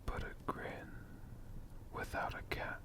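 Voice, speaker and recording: A man playing a friendly, bookish dragon who tells stories in a soft, breathy ASMR whisper, gently lingering over words. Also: takes it slowly, close to an everyday voice. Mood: gentle, musing. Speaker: ASMR host.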